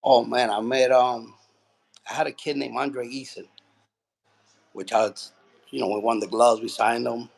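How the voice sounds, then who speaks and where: A man from Puerto Rico who trains boxers speaks in a mid-pitched, gravelly voice. He tells his story loosely and casually, circling back with plenty of fillers. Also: a little slow, pauses often. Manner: relaxed, rambling storytelling with many fillers. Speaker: boxing trainer. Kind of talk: casual interview.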